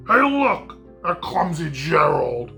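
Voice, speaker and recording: A man voicing sneering jungle animals from a children's storybook, with loud, drawn-out taunts. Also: a little high, sing-song, vocal fry, music in the background, full character voice. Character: sneering, mocking jungle animals jeering at a clumsy giraffe.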